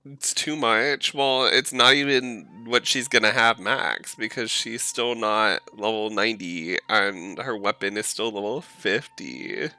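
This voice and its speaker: A man's voice: lowish voice, fairly even intonation, a little slow, few pauses, pushed and bright, soft background music. A man chatting casually on a live game stream, informal and slangy.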